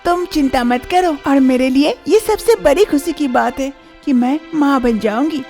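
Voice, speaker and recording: A woman's voice: high-pitched, normal speed, a little mellow, soft background music. A woman voicing a kindly, well-off young employer longing for motherhood in a cartoon story. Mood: coaxing.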